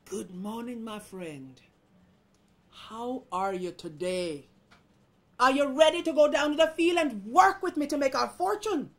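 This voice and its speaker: A woman storyteller voicing a kind, patient, hardworking male rooster in an earnest, drawn-out way. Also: on the high side, lively intonation. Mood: hopeful, urging. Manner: earnest, soothing, stretched crowing calls.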